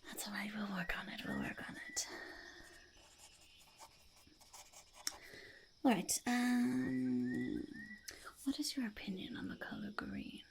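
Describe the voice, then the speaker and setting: A woman voicing a quirky, scheming goblin interviewer in a whispered ASMR roleplay, halting and muttering, often repeating phrases. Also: medium pitch, sing-song, natural voice. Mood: matter-of-fact, sly. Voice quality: breathy whisper with heavy creak. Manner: halting, muttering, repeats phrases, speaks of self in third person.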